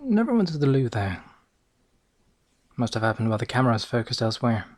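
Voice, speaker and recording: A man with a Northern English accent playing a boastful, bumbling noir private eye, narrating deadpan with hesitant asides in an ASMR roleplay. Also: medium pitch, quick pace, no echo, studio quality. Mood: deadpan, rambling.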